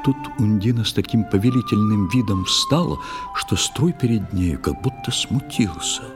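Male storyteller, very low pitch, lively intonation, husky, solemn dramatic verse narration, faint music. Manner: solemn, dramatic, measured recitation. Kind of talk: scripted poetic storytelling.

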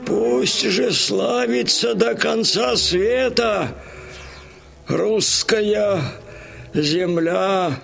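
An actor playing a devout, fearless dying Cossack in an audio drama, with a strained, gravelly voice. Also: male voice, high-pitched, extremely slow, fairly bright tone, soft background music, heavily acted. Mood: exalted, dying. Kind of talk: theatrical audio drama death speech.

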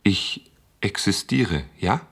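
A man in a German radio play voicing a sentient computer, childlike, stubborn and principled, speaking in terse, measured statements. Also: lowish voice, expressive, fairly bright tone, husky, heavily acted. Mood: earnest, searching.

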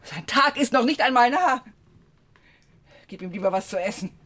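A woman's voice: a little high, expressive, moderate pace, fairly bright tone. An actress playing a stern, commanding woman with an imperious, severe manner in a radio drama. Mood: wrathful, cursing. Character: stern, commanding woman, guardian and feared death-bringer.

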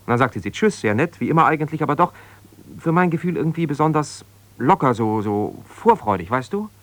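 An actor playing an aggrieved, jealous husband, an ambitious lawyer, in a naturalistic radio drama, talking in a halting, colloquial, self-justifying way as if confiding on the phone. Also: male voice, fairly high pitch, expressive, a bit bright. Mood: wounded, brooding.

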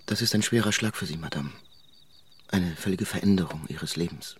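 An actor playing an ardent, courteous suitor in a literary radio drama, speaking with formal, earnest gallantry. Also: male voice, low voice, moderate pace, slightly rough. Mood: solemn, devoted. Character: ardent, courteous male suitor consoling a young widow.

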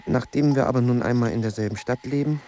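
A man playing a morbid, brooding middle-aged solitary writer in a radio drama, musing and halting as he speaks. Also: mid-range voice, dark tone, a little hiss or hum, lightly acted. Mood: somber, reflective.

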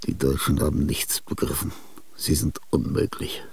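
An actor in a radio play voicing a weary, bitter aging composer, talking in rambling fragments with murmured asides and sudden outbursts, husky and gravelly. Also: male voice, rumbling low, fairly even intonation, moderate pace, a little mellow, no echo, heavily acted. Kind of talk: radio drama monologue. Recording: dry studio radio play.

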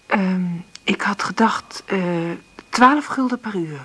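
Anxious, husky-voiced woman. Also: medium pitch, takes it slowly, a bit bright. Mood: hesitant, polite. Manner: hesitant, well-spoken, anxious. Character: nervous, polite, hard-up woman job seeker answering calls. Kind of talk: radio play dialogue and monologue.

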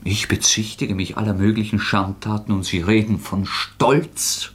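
An actor playing a proud, bitter young student murderer in a radio play, with an intense, sardonic, defiant delivery. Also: male voice, lowish voice, steady tone, measured pace, fairly bright tone. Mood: scornful. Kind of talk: German radio play acting.

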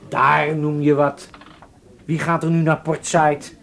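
An actor playing a genial, probing German horse-racing enthusiast in a Dutch radio drama, affable and a little hesitant. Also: male voice, fairly high pitch, level tone, slow, gravelly, fairly bright tone, heavily acted. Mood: keen.

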